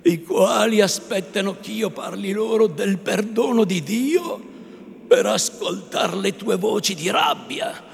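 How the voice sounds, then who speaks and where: Man playing a stern old friar, high booming shouts, gravelly, slow, echoey hall. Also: a little hiss or hum, exaggerated voice. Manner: solemn, rising to furious shouts. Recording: echoey hall, faint ambience.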